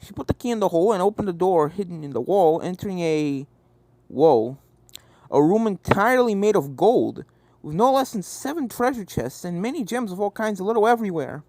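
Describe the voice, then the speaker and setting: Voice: medium pitch, expressive, brisk, a little mellow, no room sound. A reader giving scripted narration of a fan fiction story. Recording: game music behind the voice.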